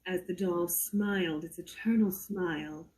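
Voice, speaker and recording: Woman, slow pace, theatrical horror storytelling, suspenseful delivery, deliberate pauses. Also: lowish voice, steady tone, darkish tone, rough recording. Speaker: performer reading a story aloud.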